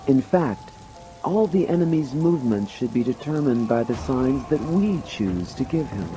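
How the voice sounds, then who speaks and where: A man of middling pitch narrates a dramatized audiobook with fairly varied intonation. He is deliberate and explanatory, over clearly audible music and rain. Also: expressive, warm tone. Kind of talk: dramatized scripted narration of commentary. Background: music and rain sounds.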